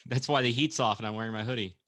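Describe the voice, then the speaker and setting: Young guy sidekick, bright crisp-edged voice, quick casual remarks, kinda self-deprecating. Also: medium pitch, dry recording, clean studio sound. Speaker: podcast sidekick. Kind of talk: casual chat.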